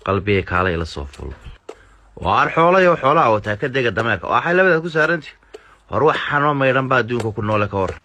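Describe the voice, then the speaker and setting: A man giving animated, mocking commentary in a comedy clip. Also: mid-range voice, racing, no echo. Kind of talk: comic commentary.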